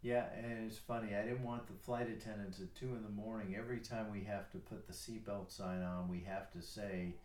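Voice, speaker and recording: A man's voice: on the low side, steady tone, a little fast, hardly any pauses, a little mellow, roomy sound, recorded from a distance. A retired older man chatting casually as a guest, self-deprecating and rambling a little. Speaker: guest, retired airline pilot. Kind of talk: casual chat.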